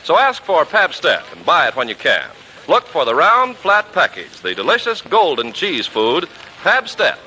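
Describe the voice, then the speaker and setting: A man's voice: a little high, wildly swooping pitch, moderate pace, bright, forceful tone, slight background noise, rough recording. A man working as a radio announcer, selling in a polished, emphatic 1940s advertising style. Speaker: radio announcer and sponsor pitchman. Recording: vintage 1940s radio recording.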